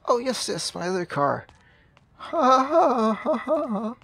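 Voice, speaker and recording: A man playing a jittery, swooping, timid gentleman. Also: very high voice, sing-song, slow, vocal fry, voice pushed up, full character voice. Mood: nervous, falsely cheery. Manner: nervous, stammering, fussy, prim. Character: jittery, polite, timid man Hansel, falsely cheery. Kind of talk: improvised video game roleplay.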